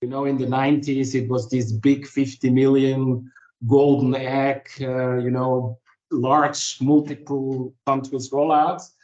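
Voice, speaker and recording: A man from Switzerland introduces himself on a video call panel in a measured way. His voice is mid-pitched. The audio is dull, and the room is echoey. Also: level tone, darkish tone. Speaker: panelist, change consultant.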